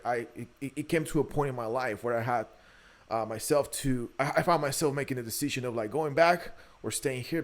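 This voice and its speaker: A man in his early thirties with a Guatemalan Spanish accent speaks in a mid-pitched voice. He is a podcast guest sharing personal stories in a candid, conversational way. He often hesitates and repeats words as he searches for the next phrase. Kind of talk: conversational interview, personal storytelling.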